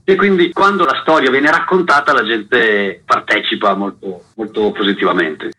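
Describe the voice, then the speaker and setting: Man, actor as interview guest, forceful bright tone, raspy edge, emphatic explanatory conversational delivery. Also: fairly low pitch, moderate pace, rough recording.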